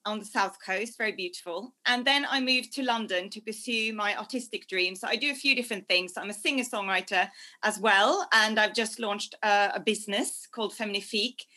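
A young woman chatting as a podcast guest, warm and talkative, laughing easily. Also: medium pitch, brisk. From Norway. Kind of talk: casual interview chat.

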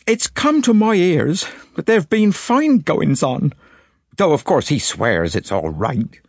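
A man's voice: on the high side, sing-song, a little slow, dry recording, clean studio sound, voice pushed up, exaggerated voice. A man reading an audiobook, voicing an anxious, indignant middle-aged woman who talks in a prim, complaining way. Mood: fretful. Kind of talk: audiobook character voice.

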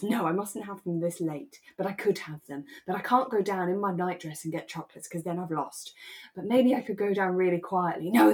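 Female podcast co-host, lowish register, informal and expressive, reviewing drama with a friend. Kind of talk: casual chat reviewing a radio drama.

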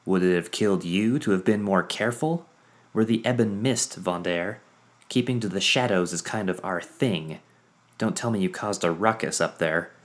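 A man voicing a scrappy young woman tinkerer who is excitable and sarcastic. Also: sits low, moderate pace, close to an everyday voice. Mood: wry.

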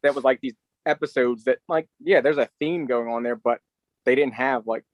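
A man's voice: medium pitch, moderate pace, no echo. A man co-hosting a podcast, chatting casually and giving his opinions. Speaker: podcast co-host.

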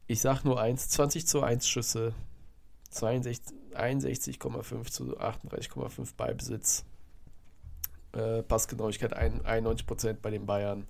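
Man with a stuffy cold, gravelly. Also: medium pitch, little pitch movement, normal speed, a little mellow. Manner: rambling, self-correcting football recap. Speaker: podcast host. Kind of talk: casual solo podcast.

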